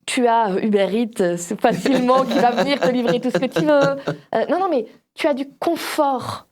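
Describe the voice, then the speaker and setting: High-voiced woman in casual religious discussion. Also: normal speed. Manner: animated storytelling, acts out imagined quotes. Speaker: panelist on a religious discussion show. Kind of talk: casual explanatory Bible discussion.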